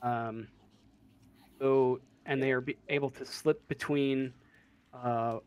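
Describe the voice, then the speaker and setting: A man working as game master, improvising narration for a tabletop role-playing game in a halting way, searching for words. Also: medium pitch, steady tone, clean tone.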